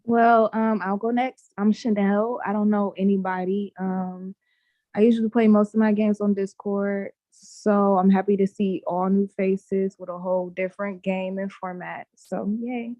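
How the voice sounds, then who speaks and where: A woman introducing herself on a video call, bubbly but halting. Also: medium pitch, fairly even intonation, mellow, no echo. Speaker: player. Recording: dull lo-fi video call audio.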